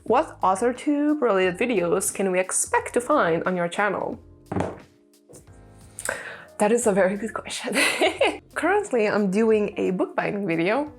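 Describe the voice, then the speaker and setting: Young woman, first language Lithuanian, giggly and rambling vlog chat, middle-pitched voice, faint music under it. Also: expressive, measured pace, long pauses. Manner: chatty, laughs often, many fillers. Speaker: vlogger, aspiring author. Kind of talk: casual chat answering tag questions. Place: room with a creaky chair. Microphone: desk mic.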